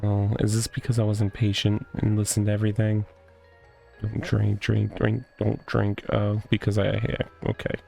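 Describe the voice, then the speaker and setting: Male gaming host: lowish, gravelly, loose casual commentary over faint game music. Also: completely flat delivery, normal speed, dark tone. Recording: music behind the voice.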